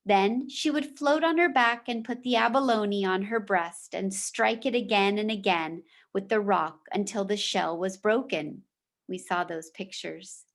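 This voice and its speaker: A woman reads aloud as a teacher would to young students. Her voice sits at an average pitch and her pace is slow. The delivery is deliberate storytelling, open to brief explanatory asides. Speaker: teacher reading a book aloud to fourth graders.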